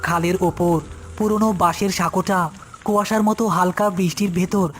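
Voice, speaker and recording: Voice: mid-range voice, fairly even intonation, moderate pace. Scripted horror narrator over music.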